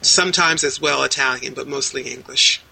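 A woman in her sixties speaks over a video call, her voice very bright and sharp-edged. She answers in a measured, articulate way, stringing details into long lists. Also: very deep voice, creaky, slightly rough, low-quality recording. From United States. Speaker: interviewee. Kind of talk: casual interview answers.

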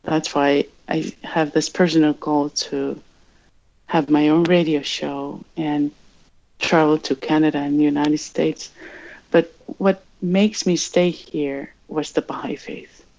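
A woman from Greenland with a Greenlandic accent, speaking English as her third language in a reflective interview, pausing and searching for words. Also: sits low, steady tone, a little mellow, highs cut off. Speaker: interview guest.

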